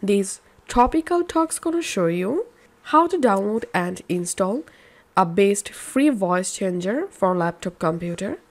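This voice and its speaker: A woman presenting a scripted step-by-step software tutorial in an instructional manner with simple phrasing. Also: mid-range voice, animated, measured pace.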